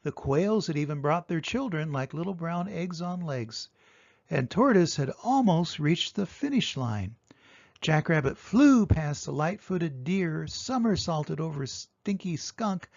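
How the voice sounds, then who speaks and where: Animated older man reading a storybook. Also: high voice, creaky, no echo, lo-fi sound. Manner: expressive, dramatic emphasis on sound words. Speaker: storyteller reading aloud to children. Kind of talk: animated children's storybook narration.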